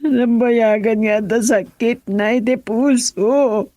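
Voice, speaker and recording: A woman's voice: a little high, steady tone, slow pace, warm tone, no echo. An actress playing a defiant, emotional middle-aged wife and mother in a radio drama, speaking emphatically with a Filipino accent. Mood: tearful, distraught.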